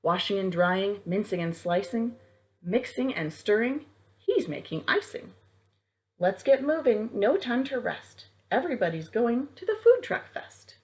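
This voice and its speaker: Female children's librarian, mid-pitched, storytime read-aloud addressed straight to little kids. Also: very animated, measured pace, poor audio. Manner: addresses young children directly, with prompts and questions.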